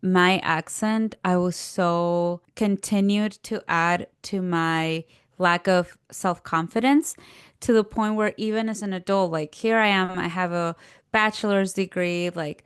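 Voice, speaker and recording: Clear-voiced Peruvian woman telling her story. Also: lowish voice, a little slow, dry recording, clean studio sound. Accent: Peruvian Spanish. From Peru. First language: Spanish. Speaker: podcast guest, career coach. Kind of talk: personal storytelling in a podcast conversation.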